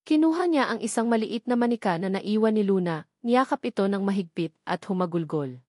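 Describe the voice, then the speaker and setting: A woman with a Filipino accent reads a dramatic story as scripted narration, in a measured, solemn manner. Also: medium pitch, lively intonation, darkish tone, dry recording, studio quality.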